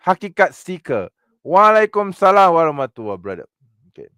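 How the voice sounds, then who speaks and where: A man's voice: sits high, big pitch swings, normal speed, sharp tone, clear, resonant tone, no echo, clean studio sound. A man with a Malaysian English accent hosting a casual livestream and reading out comments. He sounds mocking, chuckles often and uses a lot of fillers. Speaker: livestream host.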